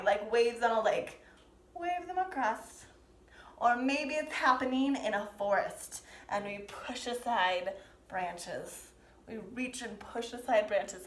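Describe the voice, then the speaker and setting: A woman teaching dance to young learners, explaining and demonstrating step by step with gentle, encouraging prompts. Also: on the high side. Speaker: dance teacher leading a children's pantomime lesson. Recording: roomy, echoing sound.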